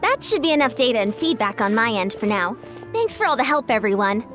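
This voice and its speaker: An actress playing an earnest, brisk, energetic young female sports coach in a video game. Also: fairly high pitch, soft background music.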